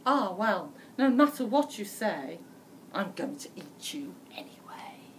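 A woman's voice: mid-range voice, very animated, measured pace. A woman voicing a hungry, sly, menacing male wolf in a storybook, theatrical and leering. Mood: indignant, menacing. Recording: distant mic with faint room noise.

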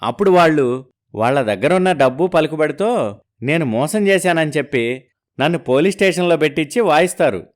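A man acting out scheming young men's casual, slangy buddy talk in an animated moral story. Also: on the high side, a little fast, close to an everyday voice. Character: scheming poor young man hunting for a bride.

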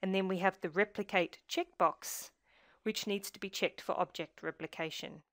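Woman doing a scripted software walkthrough, medium pitch, measured step by step, very dry close sound. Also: fairly even intonation, dry recording. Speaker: software tutorial presenter. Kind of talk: scripted screencast narration.

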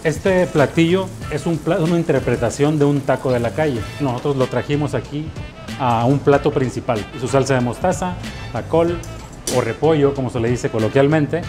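A man's voice: mid-range voice, moderate pace. A man with a Mexican accent, presenting a culinary travel show as a chef and host. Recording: music under the voice. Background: background music.

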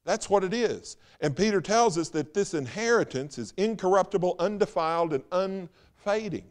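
A man preaches from the pulpit of a church. His voice sits slightly high and moves a lot. He throws out loud, quick rhetorical questions, then falls into hushed, slow phrases. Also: expressive. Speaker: pastor. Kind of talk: sermon. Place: church sanctuary.

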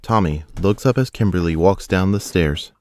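A man's voice: low voice, moderate pace, slight background noise. A man reading scripted narration for an audio drama as its narrator.

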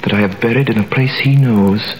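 A man's voice: lowish voice, fairly quick, close to an everyday voice, poor audio. An actor playing a young prisoner who narrates his story in a 1930s radio drama, with earnest, dramatic delivery. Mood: amazed, earnest. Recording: old radio recording with faint hiss.

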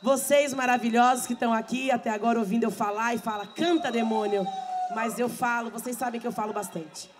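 A middle-aged woman singer, hoarse from many shows, chatting fast and laughing with a live audience through a handheld mic. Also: a little high, steady tone, a faint crowd. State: hoarse, losing her voice. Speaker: samba singer and show host. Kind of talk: chatty stage talk between songs at a live show. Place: bar stage with a live audience, indoors. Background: live samba band.

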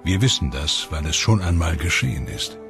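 A man's voice: sits low, expressive, moderate pace, gravelly. A man reading scripted narration for a science documentary. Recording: music under the voice. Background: background music.

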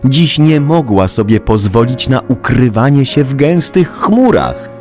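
A man narrating a children's story in a dramatic, measured storytelling manner, with music under the voice. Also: medium pitch, sing-song, darkish tone.

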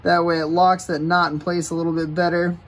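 Relaxed man explaining a kayak rig. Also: fairly high pitch, fairly quick. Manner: relaxed, step-by-step explaining while working with his hands. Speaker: kayak fishing channel host. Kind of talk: casual how-to demonstration. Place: outdoors on a house porch.

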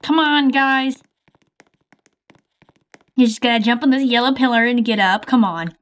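Cast: woman as a shifty, pushy stranger, evasive, somewhat high voice, game roleplay. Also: normal speed, a bit bright, natural voice. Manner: evasive, pushy, brushes off questions. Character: shady, pushy stranger named Bob. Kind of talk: improvised game roleplay voice-over.

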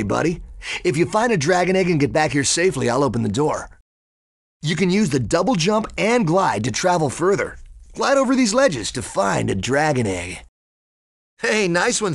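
A man's voice: on the high side, creaky, no room sound, studio quality, exaggerated voice. A man voicing a cocky, laid-back young cheetah sidekick in a video game, breezy, slangy and friendly. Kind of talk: animated video game voice acting.

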